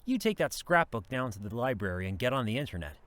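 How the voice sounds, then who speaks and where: A man's voice: mid-range voice, very animated, fast, fairly bright tone, dry recording. A man acting in an audio drama as a courteous, earnest young police officer who speaks politely and reassuringly. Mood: helpful, reassuring.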